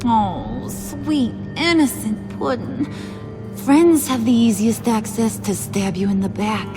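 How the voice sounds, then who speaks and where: A woman's voice: a little high, slow pace. A woman playing a playful but menacing female gang boss in a video game, slangy, bossy and teasing. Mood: teasing menace. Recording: music behind the voice.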